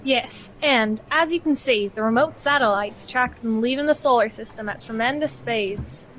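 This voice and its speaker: An actress playing a firm, idealistic scientist in a science fiction radio play. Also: female voice, on the high side, normal speed, fairly bright tone, natural voice, low-quality recording. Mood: earnest. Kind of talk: scripted sci-fi radio play.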